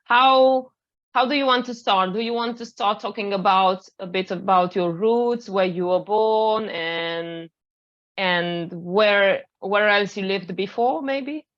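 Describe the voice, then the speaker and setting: A Romanian woman hosts a live interview over a video call, speaking at a middling pitch. She talks hesitantly and restarts her phrases often. The audio sounds a bit dull and lo-fi. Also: takes it slowly. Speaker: interview host.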